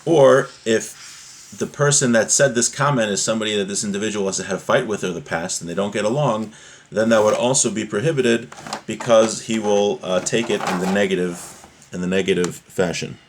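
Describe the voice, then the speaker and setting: A man's voice: on the low side, normal speed, noisy background. A man giving an informal religious lesson, reading from a source text and explaining it conversationally with everyday examples. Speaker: religious teacher giving a class.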